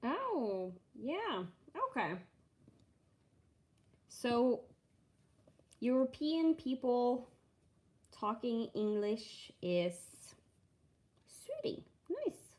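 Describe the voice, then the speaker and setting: A young woman from Norway with a Norwegian accent, chatting casually and softly while hosting a stitching livestream and reading viewer comments aloud. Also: a little high, big pitch swings. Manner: slow and soft-spoken, reading chat aloud.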